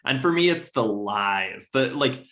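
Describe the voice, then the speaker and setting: A man speaking as a podcast guest, discussing fiction casually in an emphatic, halting way. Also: medium pitch, expressive, sharp tone. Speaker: podcast guest, literary editor. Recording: roomy, echoey.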